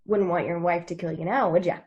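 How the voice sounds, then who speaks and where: A woman's voice: fairly low pitch, fairly even intonation, fast, a little mellow, slightly rough, dull audio. A woman acting a breezy, sassy young woman in a table read of a television drama, with casual teasing delivery. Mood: light, teasing. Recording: video call table read.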